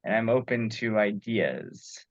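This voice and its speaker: A man thinking aloud in a meeting, haltingly searching for his words. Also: lowish voice, lively intonation. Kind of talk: thoughtful meeting discussion. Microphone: group teleconference call. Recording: dull lo-fi conference call audio.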